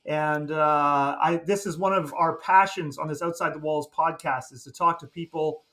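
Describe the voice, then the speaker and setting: A man's voice: on the high side, fairly even intonation, moderate pace, a bit bright. A man hosting a casual interview podcast, chatty and eager, with frequent "uh"s and restarts. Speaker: podcast host.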